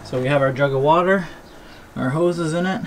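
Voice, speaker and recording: A man's voice: fairly high pitch, moderate pace. A man giving a casual, hands-on how-to narration as a DIY video host. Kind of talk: casual how-to narration of a trailer plumbing setup. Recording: clear background noise.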